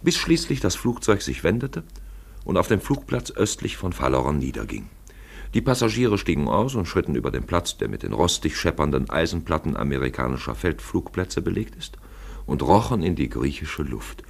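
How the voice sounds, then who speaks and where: Man, literary radio narrator, husky, very low-pitched, measured dramatic storytelling. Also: sing-song.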